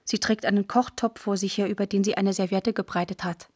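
A woman narrates a radio play in short, clipped phrases. Her mid-pitched voice is recorded close and dry, without room sound. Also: fairly even intonation, fairly quick, a little mellow, breathy edge, no room sound. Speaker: narrator reading scenes and stage directions. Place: dry studio.

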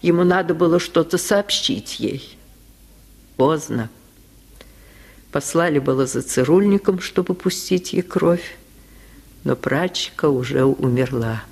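A woman reading a literary tale in an expressive, theatrical manner, in the style of 1950s radio storytelling. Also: low-pitched, vocal fry, husky. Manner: slow, expressive, theatrical delivery. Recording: 1950s radio archive recording.

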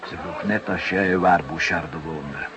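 An actor playing a familiar, loyal but wary barkeeper in a radio drama, talking in a hesitant, evasive way. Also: male voice, low voice, measured pace, music in the background. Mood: guarded. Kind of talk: Dutch radio drama acting. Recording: noisy dated radio play recording.

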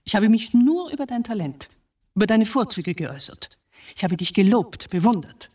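A woman's voice: mid-range voice, expressive, moderate pace, mellow, recorded from a distance, natural voice. An actress in a radio drama playing a wounded, accusing dead wife who reproaches her husband as if in a dream.

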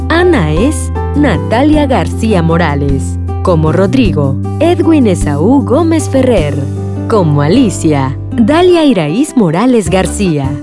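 A Mexican woman announcer reading scripted radio drama credits. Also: medium pitch. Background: music.

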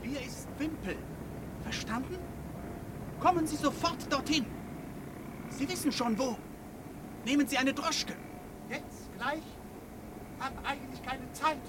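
A high-pitched, slow, commanding caller. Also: female voice. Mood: curt, urgent. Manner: curt, clipped commands. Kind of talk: German radio play acting. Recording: noisy, distant radio drama scene.

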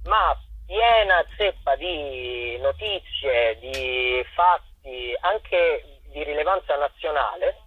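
A man's voice: medium pitch, husky. A young man from Abruzzo in Italy, an actor and playwright, explaining his work in a conversational interview over the telephone. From Chieti, Abruzzo, Italy. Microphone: phone call. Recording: tinny, roomy telephone line on radio.

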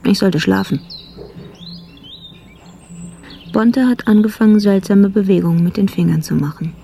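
Creaky, weary male detective. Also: high-pitched, steady tone, a little fast, warm tone, a little hiss or hum. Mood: exhausted, craving. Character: weary, idle, obsessive police detective. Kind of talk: radio drama interior monologue.

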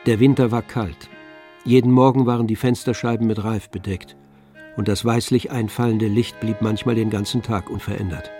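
A man narrating a literary radio play in a measured, composed storytelling manner. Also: fairly low pitch, steady tone, dark tone, soft background music.

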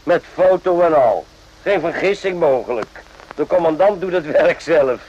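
Radio drama: cynical, gruff sergeant, scornful and slangy, slightly high pitch, dull lo-fi sound. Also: male voice, animated, unhurried, darkish tone. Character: gruff, cynical, sarcastic male army sergeant, old hand. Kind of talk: radio play acting. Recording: dull lo-fi old radio play.

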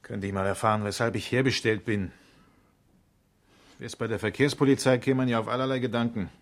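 A man's voice: medium pitch, fairly even intonation, brisk, close to an everyday voice. A man acting in a 1970s German radio crime drama as a defensive, wry engineer being questioned by police. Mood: wry, guarded.

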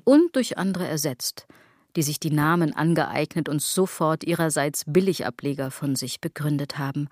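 A woman's voice: low voice, a little mellow, no echo, studio quality. An actress playing a self-critical woman who muses ironically in a literary radio drama monologue. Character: self-critical woman brooding obsessively over clothes, body and gods.

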